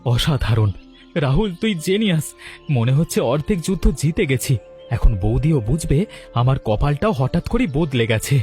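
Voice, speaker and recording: A man's voice: mid-range voice, lively intonation, normal speed, darkish tone, soft background music, close to an everyday voice. An actor playing an anxious, lovesick young man in a cartoon, speaking in an emotional, earnest way. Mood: elated. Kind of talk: dramatic cartoon voice acting.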